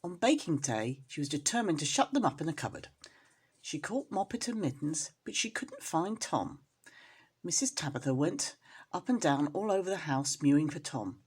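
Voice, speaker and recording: A woman storyteller reads to children in a lowish, husky voice. Her delivery is expressive, with intonation that rises and falls widely. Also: moderate pace.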